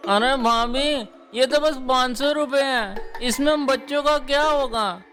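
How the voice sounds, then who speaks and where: Man doing a very high, cheeky boyish youngster. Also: unhurried, a bit bright, soft background music. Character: cheeky, lovestruck boyish younger brother-in-law.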